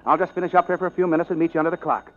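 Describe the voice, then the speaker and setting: An actor playing a brash, wisecracking, quick-witted newspaper reporter, urgent and evasive, in 1940s radio drama style. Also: male voice, fairly high pitch, fairly even intonation, fairly bright tone, close to an everyday voice. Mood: placating. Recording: dull, noisy 1940s radio recording.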